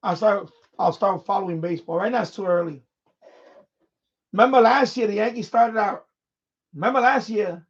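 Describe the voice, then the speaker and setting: A man with a notably high-pitched voice chats casually as a live-stream host. He talks off the cuff in a halting way, circling back to repeat words and phrases. The sound is somewhat dull and lo-fi.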